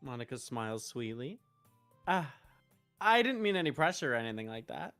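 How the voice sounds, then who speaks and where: A woman streaming a visual novel, reading narration and dialogue aloud expressively, halting in places. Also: rumbling low, sing-song, a bit bright. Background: game music.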